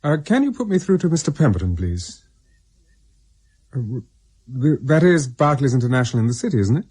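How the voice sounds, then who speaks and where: An actor playing a polite, diffident English ex-army captain in a radio drama, British-accented and hesitant. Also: male voice, mid-range voice, big pitch swings, brisk, darkish tone, breathy edge. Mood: puzzled.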